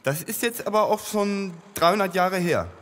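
Grumbling, highish-voiced boss of heaven. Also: male voice, moderate pace, fairly bright tone, a little hiss or hum. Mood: fed up. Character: disgruntled male boss of heaven, weary, touchy. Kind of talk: German radio play acting.

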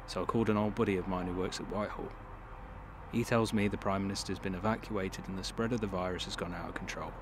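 A man's voice: low voice, background noise, lightly acted. A man playing a brusque, urgent, commanding character in an audio drama, speaking tersely. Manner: terse, no-nonsense.

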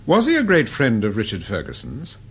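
An actor playing a courteous gentleman detective with an English accent, questioning in radio drama style. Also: on the low side, huge pitch swings, brisk, slightly rough, exaggerated voice. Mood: calm probing. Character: suave, probing gentleman amateur detective.

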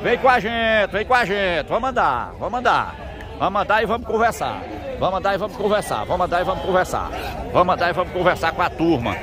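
A man talks fast and high as he walks an outdoor livestock market with a handheld mic. He chats with traders and keeps greeting people, upbeat and repetitive. A crowd chatters loudly all around him. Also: sing-song. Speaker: market reporter and video host. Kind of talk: on-the-spot interviews while walking.

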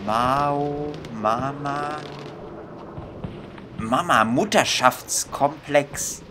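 A man's voice: mid-range voice, very slow, noisy background. A young man thinking aloud in casual, exasperated commentary as he plays a video game. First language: German. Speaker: gaming video host. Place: indoor room at a computer. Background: game music.

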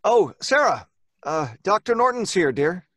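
An actor playing an anxious, disbelieving husband in an old-time radio horror play, earnest, halting and melodramatic. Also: male voice, sits high, big pitch swings, noisy background. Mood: worried. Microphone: close studio microphone.

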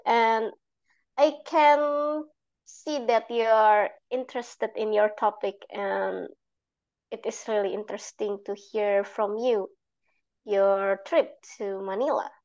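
A young woman with an Indonesian accent giving halting, simply phrased speech feedback as an evaluator on a video call. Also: medium pitch, fairly even intonation, a little mellow, lo-fi sound. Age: young adult. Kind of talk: spoken speech feedback at a club meeting.

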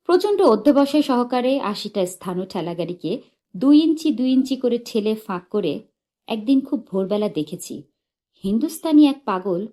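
High-pitched, animated woman storyteller. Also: moderate pace. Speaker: audiobook narrator. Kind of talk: expressive narration of a humorous short story.

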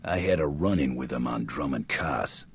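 An actor playing a gruff, terse armoured male bounty hunter in a video game, with a dry way of talking. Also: extremely low pitch, lively intonation, normal speed, slight background noise. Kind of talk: scripted video game cutscene acting.

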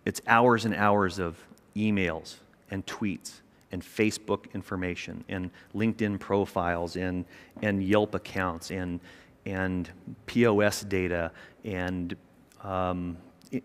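A middle-aged man giving an energetic, emphatic keynote speech on a large conference stage, with a small mic. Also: low voice, unhurried. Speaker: keynote speaker, company chairman. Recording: long reverb of a large hall.

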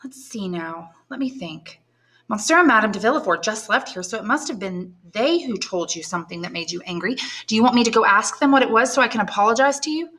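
A woman's voice: a little high, animated, fairly quick, a bit bright, voice pushed up. A woman reading aloud and voicing a young woman, an earnest and worried granddaughter pleading with her grandfather. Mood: anxious.

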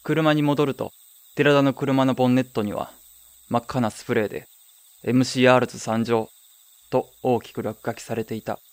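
A man's voice: medium pitch, steady tone, normal speed, dry recording, close to an everyday voice. A man as a wry young narrator, very dry sound. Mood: dry, indignant. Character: young man narrating his wistful hometown memories, wry, easily stung. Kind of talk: first-person radio drama narration.

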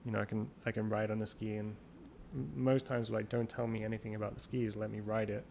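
A man with a gravelly, fairly low voice talks in a flat, level way, circling back and restarting his sentences as he thinks aloud. He is a guest in a casual interview, and a faint hiss of noise sits under the call recording. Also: brisk. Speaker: guest, champion water skier.

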